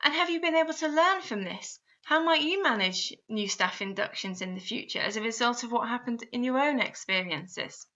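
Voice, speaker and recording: A woman with a fairly high, very bright voice narrates a step-by-step guide. She addresses the listener directly as an instructor would, often with guiding questions. The recording is a little dull and lo-fi. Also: lively intonation, normal speed, few pauses. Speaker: instructor narrating a guidance video. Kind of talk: scripted instructional voice-over. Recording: slightly dull, lo-fi voice-over.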